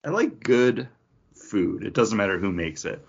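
Deep-voiced American man, husky and animated. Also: unhurried. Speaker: podcast co-host. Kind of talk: casual podcast chat. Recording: dull, lo-fi audio.

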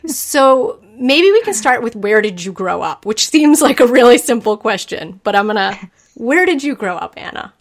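A woman's voice: on the high side, sing-song, moderate pace. A woman hosting a podcast, introducing her guest and chatting with her in an enthusiastic, eager way. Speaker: podcast host. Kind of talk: casual interview chat.

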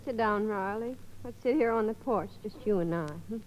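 A woman's voice: medium pitch, moderate pace, close to an everyday voice. An actress playing a patient, devoted middle-aged wife and mother, soothing and earnest, in a 1950s radio sitcom. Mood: soothing, loving. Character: patient, devoted, gently worried housewife and mother. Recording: muffled, noisy old radio broadcast.

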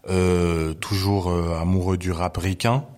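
A man in his forties with a very low voice tells his story as an interview guest. He talks loosely and easily, often stopping on fillers to find his words. He grew up in Belgium. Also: a little slow. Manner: relaxed, lots of hesitations. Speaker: guest, hip-hop journalist. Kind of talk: casual interview chat.

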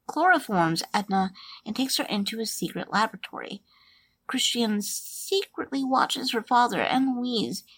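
A woman hosting a podcast, reading a steady plot recap and then chatting loosely with fillers. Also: mid-range voice, breathy edge, no room sound, low-quality recording. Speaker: podcast host. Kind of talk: reading a plot summary, then casual commentary.

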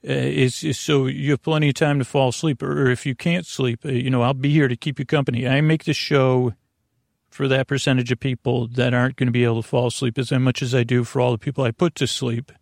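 A man hosting a sleep podcast in a rambling, goofy bedtime monologue, halting often, trailing off and restarting in friendly banter. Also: mid-range voice, flat delivery, vocal fry, dry recording, studio quality.

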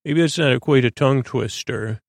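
A man hosting a bedtime podcast in a creaky, lulling voice, droning along in a halting, stammering, meandering monologue meant to help listeners sleep. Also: medium pitch, dry recording, studio quality. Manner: halting, stammering, repeats and plays with words. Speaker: podcast host.

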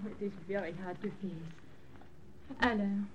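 A woman's voice: mid-range voice, steady tone, measured pace, close to an everyday voice. Actress playing a pleading lady, dull muffled sound, faint hiss. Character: torn French woman confessing to lover. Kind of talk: 1940s radio acting.

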